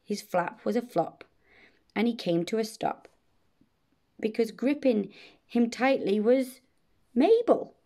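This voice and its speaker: A woman narrates a rhyming children's story. She takes it slowly and expressively, measuring out each phrase. Her tone is mellow, with a noticeable creak in it. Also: on the high side. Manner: measured, expressive bedtime reading. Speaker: storybook reader.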